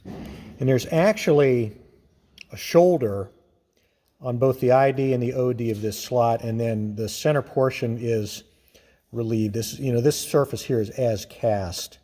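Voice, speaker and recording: A man giving an informal, hands-on explanation of machinery in a halting, methodical way, as host of a workshop video. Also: medium pitch, mellow. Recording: reverberant workshop with clear background noise.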